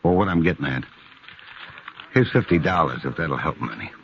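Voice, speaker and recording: A polite, earnest drifting gambler with an extremely deep voice. The sound is dull and lo-fi like an old broadcast. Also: male voice, animated, brisk, background noise. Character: quiet, drifting male card dealer with a soft heart. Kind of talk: 1950s radio drama acting. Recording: dull lo-fi vintage radio recording with music.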